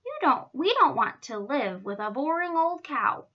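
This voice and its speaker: A woman's voice: sits high, big pitch swings, measured pace. A woman voicing haughty, dismissive zoo animals in a children's story, brusque and scornful, slightly dull recording. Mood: scornful, unwelcoming.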